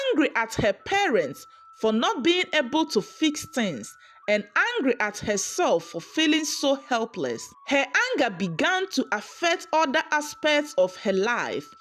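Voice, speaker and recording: Slow, lilting woman storyteller. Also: fairly high pitch, fairly bright tone, a little hiss or hum. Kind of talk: scripted folktale narration.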